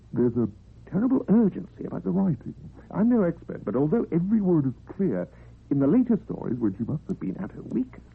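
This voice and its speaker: Husky, gravelly earnest doctor. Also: male voice, a little high, exaggerated voice. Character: earnest, thoughtful male doctor, a married man. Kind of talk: radio drama acting. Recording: narrow, muffled old radio recording.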